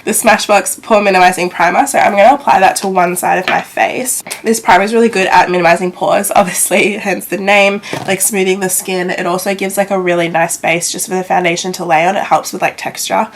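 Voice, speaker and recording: A woman's voice: fairly low pitch, steady tone, fairly quick, hardly any pauses, a little hiss or hum. A young Australian woman with a congested, nasal voice, chatting casually through a makeup review and peppering her talk with "like". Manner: chatty, filler-heavy with frequent "like". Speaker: beauty vlogger.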